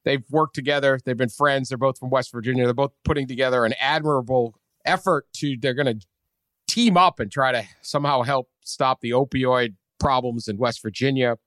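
A man's voice: medium pitch, steady tone, fairly quick, a bit bright, no room sound, clean studio sound. A man with a Boston accent hosting a sports podcast in casual, joking chat. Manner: joking, sardonic. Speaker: podcast host.

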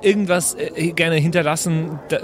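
A man's voice: fairly high pitch, fairly even intonation, moderate pace. A man chatting casually as a player in an improvised tabletop game, rambling and repeating his words.

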